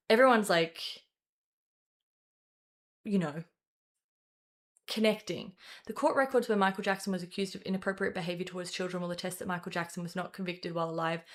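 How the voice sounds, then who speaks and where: A young woman hosting a casual political commentary show, talking in a rambling way with swearing and quick self-corrections. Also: mid-range voice. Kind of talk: casual opinion commentary to camera.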